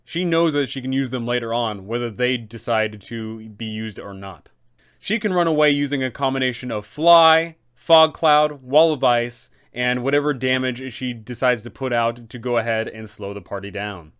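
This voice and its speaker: A man's voice: mid-range voice, normal speed, fairly bright tone, clean tone. A man talking as an informal game master host, explaining things in a conversational, instructional way.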